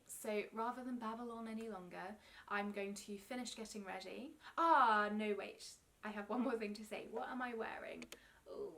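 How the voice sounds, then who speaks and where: A woman's voice: on the high side, a bit bright, slight background noise. Stuffy-nosed young woman, rambling and gushing. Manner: chatty and rambling, many ums, gushing. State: stuffy nose from a cold. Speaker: knitting podcast host. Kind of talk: casual chat to camera.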